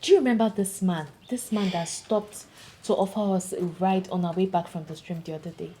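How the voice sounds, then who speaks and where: A woman's voice: fairly low pitch, steady tone, a little fast, warm tone, close to an everyday voice. A woman playing a defiant, plain-spoken young village woman with a Nigerian accent in a film drama, speaking emphatically and indignantly. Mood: exasperated. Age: young adult. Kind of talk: naturalistic film drama dialogue.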